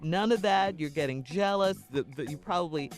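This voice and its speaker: A woman's voice: fairly low pitch, fairly quick, soft background music. An American woman hosting a conversational morning radio advice segment, speaking frankly and admonishingly. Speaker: radio host.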